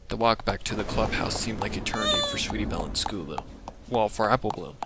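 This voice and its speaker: A man narrating a scripted dark mystery story as a dramatic reading. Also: fairly low pitch, very noisy. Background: thunder and rain effects.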